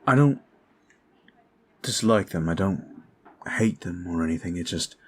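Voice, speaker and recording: A man playing a calm, courteous, protective vampire in an ASMR roleplay, soft-spoken and halting, with formal phrasing. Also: sits low, slight background noise. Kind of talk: ASMR roleplay audio drama.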